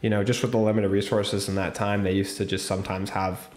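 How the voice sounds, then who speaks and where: A man's voice: fairly low pitch, little pitch movement, brisk, darkish tone, echoey room. A man co-hosting a casual movie review podcast, chatting with enthusiasm. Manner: chatty, enthusiastic, lists cast members. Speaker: podcast co-host.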